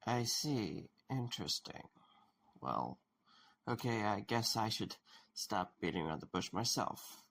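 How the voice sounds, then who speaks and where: Slow, gravelly man acting a wary questioner. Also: lowish voice, sing-song, a bit bright, slight background noise, full character voice. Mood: curious, pressing. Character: wary, blunt man questioning a haunted puppet. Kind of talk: audio drama dialogue.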